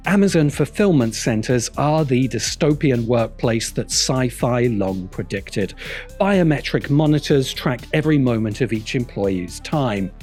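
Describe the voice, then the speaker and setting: A man's voice: medium pitch, darkish tone. A man delivers scripted, wry commentary in a mid-range voice. He sounds like a critical video essayist, with faint music running behind him. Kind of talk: scripted narration.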